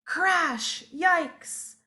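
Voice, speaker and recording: A woman voicing excitable little monkey children for a picture-book read-aloud, in an eager, exclaiming manner. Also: very high voice, drawn-out words, voice pushed up, full character voice. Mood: startled. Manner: eager, hushed shushing and exclaiming.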